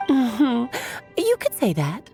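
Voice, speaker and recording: A woman's voice: a little high, wildly swooping pitch, slow, vocal fry, dark tone, exaggerated voice. A woman playing a whimsical mage aunt in an anime video game, theatrical, coy and grandly playful like a born storyteller. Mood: earnest, wistful. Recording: music behind the voice.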